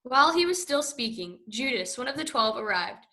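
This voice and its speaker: A woman narrating a scripture reading in a steady, even read-aloud way. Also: a little high, normal speed.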